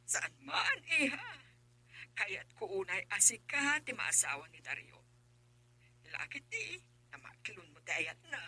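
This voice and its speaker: A woman's voice: on the high side, very animated, measured pace, pauses often, pushed and bright, husky, exaggerated voice. A woman playing an emotional middle-aged mother who pleads, in a radio drama. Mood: insistent. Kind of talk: Filipino radio drama acting.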